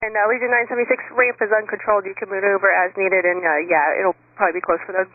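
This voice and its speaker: A man's voice: high-pitched, fairly even intonation, very fast. A man working as an air traffic controller, speaking operationally over aviation radio. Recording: noisy tinny amateur-received aviation radio.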